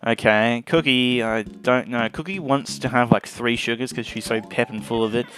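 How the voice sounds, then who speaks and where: A man's voice: medium pitch, normal speed, fairly bright tone. A man giving casual let's play commentary on a video game as its host. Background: game music.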